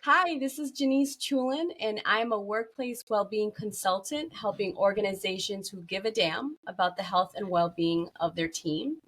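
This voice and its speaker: A woman's voice: mid-range voice, normal speed, hardly any pauses, a bit bright. A woman talking conversationally as a guest on a podcast interview. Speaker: podcast guest, workplace well-being consultant.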